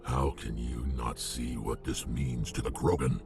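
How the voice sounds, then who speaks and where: An actor playing a gruff, proud, embittered male alien warrior in a video game, blunt and forceful, with a deep gravelly voice. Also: fairly even intonation, measured pace, over music. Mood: defiant.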